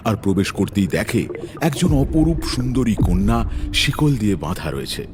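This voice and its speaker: A man's voice: lowish voice, big pitch swings, moderate pace, heavy background noise. A man narrating a scripted fairy-tale cartoon as its storyteller.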